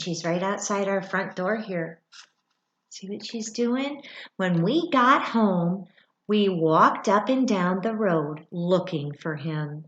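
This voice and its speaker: A middle-aged woman reads a children's book aloud like a teacher, expressively, pausing for asides and questions to young listeners. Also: mid-range voice, dull audio. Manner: expressive, with dramatic gasps and thoughtful hums. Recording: roomy, echoey indoor sound.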